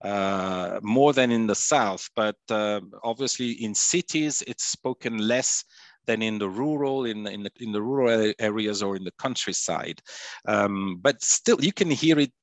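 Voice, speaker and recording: A man's voice: lowish voice, measured pace, dry recording. A man from Ticino in Switzerland, a native Italian speaker with an Italian accent, answering interview questions thoughtfully with many restarts and "you know"s. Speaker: guest, radio host and author.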